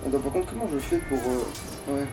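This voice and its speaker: A man's voice: medium pitch, moderate pace, over music. A man chatting casually and swearing in slang as he hosts a video game playthrough. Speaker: let's play host. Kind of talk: casual gaming commentary with a co-player.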